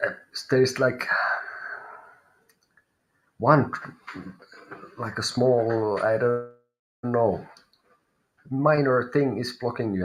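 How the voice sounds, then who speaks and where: A man with a Finnish accent and a fairly low voice reads tarot cards live. He thinks aloud as he goes, pausing and hunting for the right word before settling on his reading. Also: low-quality recording. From Finland. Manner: thinking aloud, searching for words. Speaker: tarot reader.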